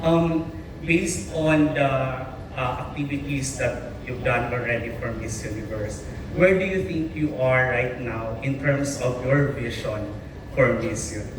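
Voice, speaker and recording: A man's voice: mid-range voice, unhurried. A man asking questions as a journalist, hesitant and full of fillers. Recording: echoey hall, distant mic, noisy.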